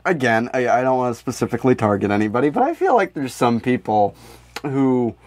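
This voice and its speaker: A man chatting casually as a comedy podcast co-host, rambling, animated and freely swearing. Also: mid-range voice, brisk.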